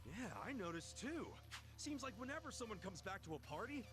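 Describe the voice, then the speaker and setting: An actor playing a brash, earnest young hero in a crime drama video game. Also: male voice, sits high, sing-song, fairly quick. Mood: upbeat. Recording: loud game music behind voice.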